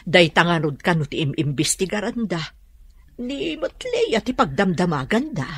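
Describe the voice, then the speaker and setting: A woman with an Ilocano accent playing a concerned middle-aged mother who gently urges, in a radio drama. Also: fairly low pitch, big pitch swings, moderate pace, slightly rough, dry recording. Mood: worried.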